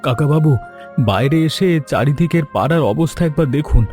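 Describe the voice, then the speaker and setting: A man voicing an eager, helpful younger village man in a cartoon, with an animated, solicitous manner. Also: fairly high pitch, a little fast, soft background music, lightly acted. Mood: alarmed. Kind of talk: animated moral story dubbing.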